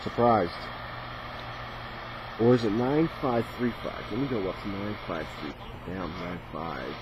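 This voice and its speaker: Guy w a lowish voice tinkering with radios, rambling unscripted n hesitant, noisy static behind him. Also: a little slow, dull audio. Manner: hesitant, thinking aloud. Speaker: radio hobbyist presenting a video. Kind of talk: casual unscripted demonstration. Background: shortwave radio static and chatter.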